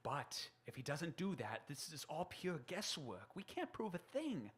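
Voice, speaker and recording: An actor playing an anxious, skeptical friend in a stage radio drama, speaking in a hushed, doubtful way. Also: male voice, a little high, huge pitch swings, unhurried, breathy edge, heavily acted. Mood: worried, doubtful. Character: anxious, skeptical male friend waiting in hiding.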